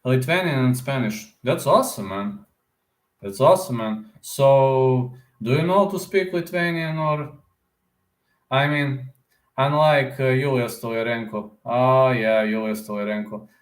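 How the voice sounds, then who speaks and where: Man, casual live stream chatter, rambling, middle pitch, roomy echo. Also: unhurried. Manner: rambling, answers viewer comments aloud; repeats words, often ends with "man". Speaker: combat sports YouTuber.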